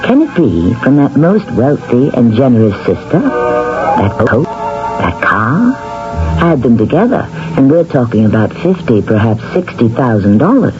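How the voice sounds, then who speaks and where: A man's voice: mid-range voice, big pitch swings, unhurried, music in the background. A man hosting a radio mystery, narrating from a script in an arch, literary, theatrical manner. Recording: muffled old radio recording.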